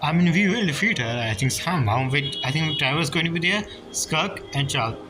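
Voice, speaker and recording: A man giving casual, halting gameplay commentary, thinking aloud as a streamer. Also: fairly high pitch, lively intonation. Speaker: game streamer. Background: game music.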